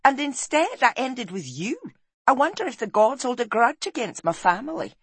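Woman doing a high, feisty clanswoman wife. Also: sing-song, normal speed, breathy edge, dry recording. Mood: teasing. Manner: feisty, scolding. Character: spirited, sharp-tongued clanswoman wife.

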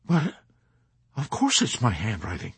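A frantic army chaplain, played by a man, whose husky, raised voice stammers and pleads as he loses patience. He swings up high and wild, and the recording is close and dry. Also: wildly swooping pitch, a little slow, no echo, exaggerated voice. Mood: indignant. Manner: stammering, pleading, rising to indignant shouts. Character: bewildered timid male army chaplain, frantic.

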